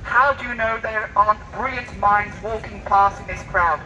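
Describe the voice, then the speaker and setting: Very high-voiced man declaiming by megaphone. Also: normal speed, pushed and bright. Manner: declamatory, formal, insistent. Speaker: street debater with a megaphone. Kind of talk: public debate oratory. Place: busy outdoor city street. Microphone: megaphone picked up by a distant camera mic. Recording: tinny megaphone outdoors, distant, street noise.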